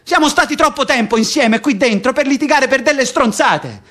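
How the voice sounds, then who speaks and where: An actor playing a stubborn, hot-headed, foul-mouthed political prisoner in a theatrical radio drama, speaking vehemently and repeating himself. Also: male voice, very high voice, normal speed, a bit bright, faint music behind. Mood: pleading, warning.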